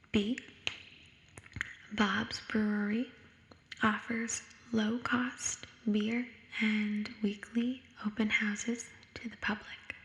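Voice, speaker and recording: Slow whispering young woman with echo. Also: mid-range voice. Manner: whispered, hushed and unhurried. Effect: echo sound effect. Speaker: ASMR creator. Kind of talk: ASMR, reading study questions aloud. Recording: echoing whisper recording.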